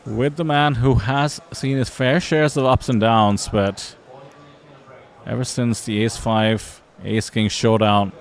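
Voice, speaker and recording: A man giving live poker commentary from a booth, analytical with a dry sense of humor. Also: mid-range voice.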